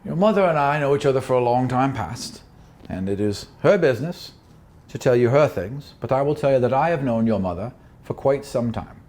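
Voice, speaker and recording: A man voicing a big, older sea captain in a tabletop game, gruff but protective, with a folksy, fatherly manner. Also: medium pitch, animated, fairly quick, a little mellow, close to an everyday voice. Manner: folksy, fatherly, calling the listener boy.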